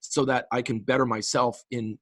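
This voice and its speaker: A man's voice: medium pitch, little pitch movement, moderate pace, fairly bright tone, dry recording, studio quality. A man in his late forties from the Canadian prairies, chatting as a guest musician in a casual interview, enthusiastic and rambling with frequent restarts. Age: late 40s.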